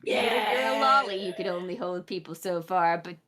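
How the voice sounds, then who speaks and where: A woman's voice: lowish voice, brisk, fairly bright tone, slightly rough. A young adult woman co-hosting a casual stream chat, blunt and teasing, swearing freely.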